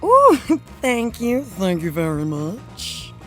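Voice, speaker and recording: A woman's voice: fairly high pitch, huge pitch swings, slow, faint music behind. A woman trading playful banter as a contestant in a trivia game.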